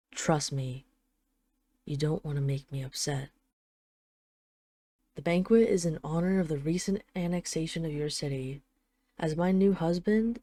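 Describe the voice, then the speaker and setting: A woman's voice: sits low, dark tone. A woman playing a possessive dragon queen in an intimate roleplay audio drama, teasing, condescending and commanding.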